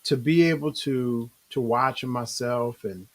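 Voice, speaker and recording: A man's voice: mid-range voice, steady tone, slow pace. A man hosting an interview in a casual, informal and affirming way. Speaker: interview host. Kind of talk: casual chat.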